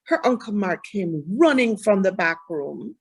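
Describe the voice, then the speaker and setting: A woman's voice: medium pitch, very animated, unhurried, slightly rough. A woman reading a children's storybook aloud expressively.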